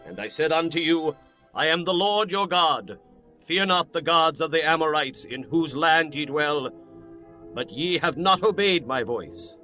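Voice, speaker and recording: An actor playing a stern prophet, declaiming God's rebuke solemnly in a dramatized scripture reading. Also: male voice, a little high, animated, measured pace, sharp tone, soft background music. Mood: stern, proclaiming.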